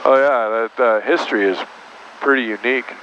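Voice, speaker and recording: A man's voice: mid-range voice, a little fast. Plain-spoken male pilot through dull cockpit audio. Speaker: airline captain. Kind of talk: casual cockpit chat. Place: small turboprop aircraft cockpit in flight. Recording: dull muffled audio, steady aircraft engine noise. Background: aircraft engine drone.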